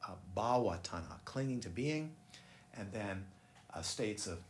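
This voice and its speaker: A man's voice: on the low side, expressive, unhurried. A man teaching meditation in a casual, rambling way, often breaking off and putting questions to his listeners. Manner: rambling, self-interrupting, asks listeners questions. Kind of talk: dharma talk. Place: busy room. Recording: echoey room, faint ambient noise.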